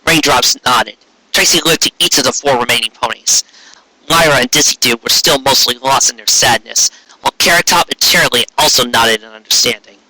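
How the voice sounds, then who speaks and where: A man reading a story aloud as narrator, with a husky voice. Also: medium pitch, a little fast, sharp tone, low-quality recording. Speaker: amateur fan fiction reader.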